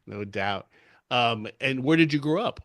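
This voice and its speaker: A man's voice: medium pitch, expressive, a little slow, a bit bright, dry recording. A man hosting a business interview podcast, friendly and talkative. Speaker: podcast host.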